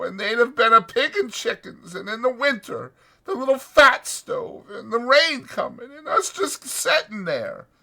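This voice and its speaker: A man voicing an anxious, grieving old ranch hand in an audiobook, with folksy rural speech and a whining, drawn-out delivery. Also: very high-pitched, gravelly, pushed and bright, pitched above the performer's own voice, full character voice. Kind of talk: dramatized audiobook character voice.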